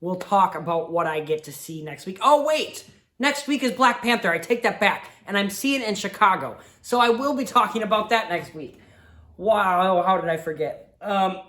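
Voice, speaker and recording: A man's voice: sits high, pushed and bright. Sick man chatting movie reviews. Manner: rambling, heartfelt, chatty. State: sick, sniffling, voice fading. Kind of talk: casual solo movie review. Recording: roomy echo.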